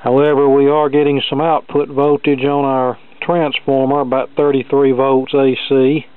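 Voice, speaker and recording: A man explains a technical repair as he works, in a smooth, clear voice that stays very level in pitch. His delivery is plain-spoken and measured. The sound is thin and band-limited, like a phone line, with little room sound. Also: little pitch movement, no room sound. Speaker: hobbyist radio repair demonstrator. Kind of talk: hands-on repair walkthrough, talking through steps. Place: indoor cluttered electronics workbench.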